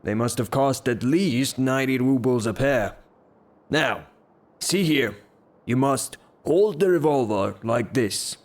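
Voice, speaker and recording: An actor playing a hot-tempered, blustering rustic gentleman in a theatrical audio drama, declaiming his lines. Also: medium pitch, slow, natural voice. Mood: instructing.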